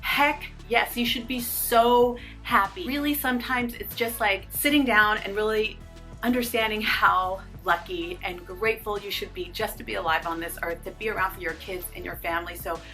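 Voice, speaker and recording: A woman's voice: mid-range voice, fairly even intonation, normal speed, sharp tone, faint music behind. A woman in her fifties talking casually to the camera, upbeat and encouraging, speaking straight to her viewers. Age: 50s. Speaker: fitness and lifestyle YouTuber.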